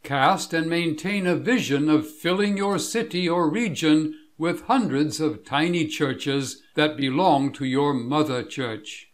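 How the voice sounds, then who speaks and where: Man, somewhat high pitch, very slow pace, deliberate scripted narration, measured delivery.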